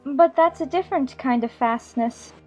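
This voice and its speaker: A woman's voice: sits high, moderate pace, a little mellow, faint music behind, natural voice. A woman playing a polite, curious young girl who questions frankly, in a dramatized audiobook with muffled sound. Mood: doubtful.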